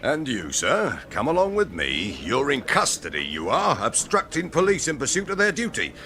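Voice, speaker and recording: A man's voice: medium pitch, wildly swooping pitch, moderate pace, heavy background noise. An actor playing an officious, smugly stern policeman in a comic radio drama, speaking with emphatic relish. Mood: gloating, stern.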